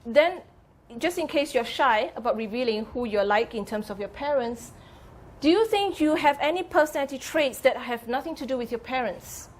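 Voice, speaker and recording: A woman's voice: sits high, lively intonation, moderate pace. A woman lecturer leading a classroom discussion, probing students with follow-up questions. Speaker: psychology lecturer. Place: lecture room.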